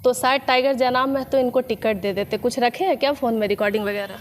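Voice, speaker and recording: A woman's voice: on the high side, fast talker. A woman, working as a news reporter and putting pointed, challenging questions in a political interview. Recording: echoey hall, clear background noise.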